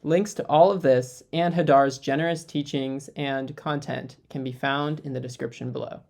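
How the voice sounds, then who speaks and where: A man's voice: medium pitch, fairly even intonation, normal speed, gravelly, a little mellow. A man co-hosting a podcast, speaking in a welcoming, appreciative conversational way as he interviews. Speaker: podcast co-host.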